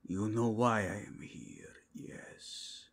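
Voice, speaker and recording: A man playing a solemn, imperious werewolf cardinal with a breathy, whispery voice and formal, deliberate speech in a fantasy ASMR roleplay. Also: on the low side, heavily acted. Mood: stern, deliberate. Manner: formal, slow, deliberate rhetorical questioning.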